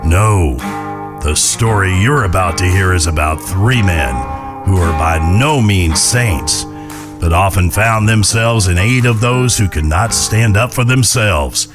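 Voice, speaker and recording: Guitar music plays behind a man performing a folksy Old West narrator. His voice is low, and he tells the tale with gravity and dry wit, as in an audio drama. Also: unhurried. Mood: grave. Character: folksy Old West storyteller, grave and wry.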